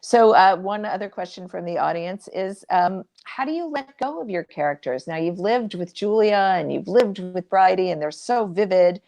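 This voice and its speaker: A woman's voice: mid-range voice, animated, moderate pace, gravelly, hardly any pauses, darkish tone. A woman moderating a conversational interview and putting questions to a guest.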